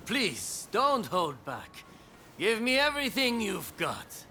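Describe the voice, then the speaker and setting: Wry, lively young samurai. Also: male voice, high-pitched, slow pace, soft background music, exaggerated voice. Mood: confident, challenging. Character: confident, courteous young samurai, wry and sporting. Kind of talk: video game cutscene acting.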